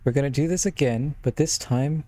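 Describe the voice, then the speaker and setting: A man's voice: mid-range voice, brisk, warm tone. A man streaming a visual novel, reading its text aloud and commenting casually. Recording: clear game music behind the voice.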